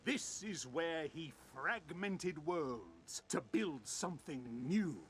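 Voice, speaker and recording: Grandiose, swooping gentleman adventurer. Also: a little high, big pitch swings, slow pace, slight background noise, full character voice. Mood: triumphant, eager. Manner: pompous, grandiose, exclamatory. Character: bombastic old-time gentleman adventurer, boastful and theatrical. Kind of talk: theatrical video game voice acting.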